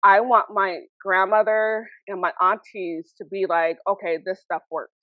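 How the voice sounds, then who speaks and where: A woman's voice: mid-range voice, little pitch movement, a little slow, no room sound, phone-quality sound. A woman speaking as a guest on a podcast, a brand founder chatting casually with frequent fillers, restarts and laughs.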